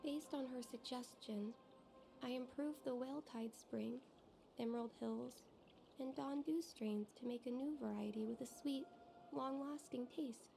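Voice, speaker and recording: A woman's voice: high voice, unhurried, vocal fry, warm tone, faint music behind. A woman voicing an earnest, cheerful young female tea house owner in a video game, polite and heartfelt. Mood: tender, wistful.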